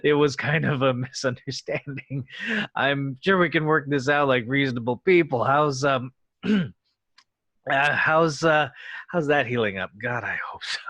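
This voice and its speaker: A man's voice: medium pitch, measured pace, a bit bright, no echo. A man acting a cocky, flustered starship captain in a sci-fi script read, blustering and bossy. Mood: awkward bluffing. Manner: hesitant stammering bluster, throat-clearing, barked orders. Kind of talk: screenplay table read acting.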